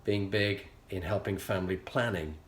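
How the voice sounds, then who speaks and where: A middle-aged man with a Yorkshire accent, telling a personal story as a show host in an earnest, measured way with frequent pauses. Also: sits low, fairly even intonation, a little hiss or hum. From England. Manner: earnest, measured, pausing often. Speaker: leadership coach and show host. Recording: echoey room.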